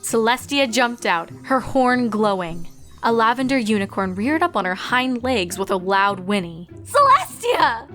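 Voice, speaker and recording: A woman reading a story aloud in an expressive, scripted narration style. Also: mid-range voice, moderate pace, soft background music. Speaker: fan fiction narrator.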